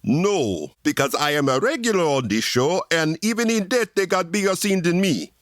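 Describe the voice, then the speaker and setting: A self-important, mournful informant, played by a man, with a highish voice that rises and falls dramatically. The phrasing is formal and theatrical, for a dryly recorded radio comedy. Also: expressive, moderate pace, no room sound, studio quality, natural voice. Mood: self-pitying.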